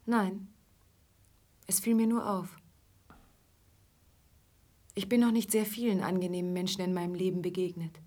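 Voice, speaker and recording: A weary young female musician, played by a radio actress, speaks softly in a breathy voice. She is reserved and plain-spoken, wary of whoever addresses her. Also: fairly low pitch, flat delivery, normal speed, pauses often, close to an everyday voice. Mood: subdued, weary. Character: weary, guarded young female café musician, ill-treated. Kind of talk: German radio drama acting.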